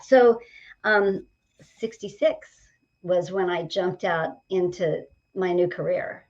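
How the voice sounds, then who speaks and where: Woman, late 60s, energetic motivational coach, upbeat storyteller, slightly dull lo-fi sound. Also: medium pitch. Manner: energetic, upbeat, talks in stories and examples. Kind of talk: podcast conversation.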